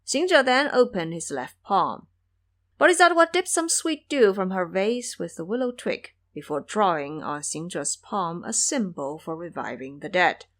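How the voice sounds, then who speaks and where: Female narrator for audio drama, measured storytelling delivery, very varied intonation. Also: on the low side, a bit bright.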